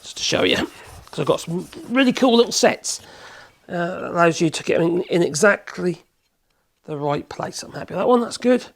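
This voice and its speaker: A man with a cold, hoarse, chatting in a rambling way as he demonstrates in his workshop. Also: high voice, animated, normal speed. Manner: rambling, chatty, self-correcting, chuckles. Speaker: woodworking channel host.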